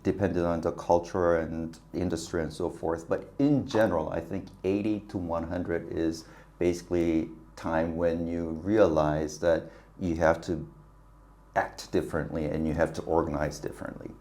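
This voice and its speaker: Very low-voiced man telling work stories. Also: normal speed. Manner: animated, laughs often, acts out quoted dialogue. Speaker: guest, business consultant. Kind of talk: casual interview storytelling.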